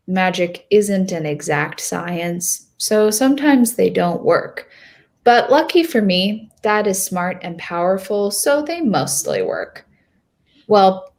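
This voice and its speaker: A woman playing a hopeful, nervous young girl who tells her own storybook tale in a deliberate, expressive reading. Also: mid-range voice. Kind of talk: first-person storybook narration.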